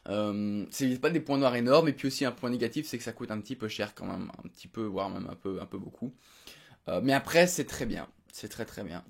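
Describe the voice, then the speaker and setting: Young male vlogger, quick rambling delivery, lots of fillers, unscripted home recap. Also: on the low side, vocal fry. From Belgium. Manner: rambling, many fillers and restarts. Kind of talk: unedited casual recap to camera. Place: home room.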